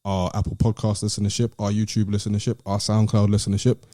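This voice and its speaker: A British man hosting a podcast in casual banter with friends, animated and teasing in manner. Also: lowish voice, fast talker, no echo, studio quality. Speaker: podcast host.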